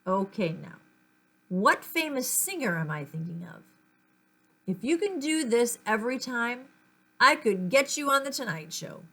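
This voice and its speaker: A woman's voice: on the high side, sing-song, a little slow, fairly bright tone, heavily acted. A woman voicing a dismissive, joking dad in a children's storybook, with a breezy manner. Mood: teasing. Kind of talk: storybook character voice.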